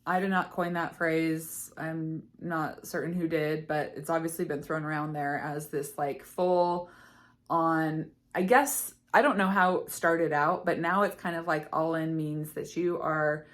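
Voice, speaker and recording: A woman recovery coach talking casually to camera, her voice slightly hoarse as she loses it, earnest and full of fillers and restarts. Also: low voice, fairly even intonation, a little slow. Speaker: eating disorder recovery coach.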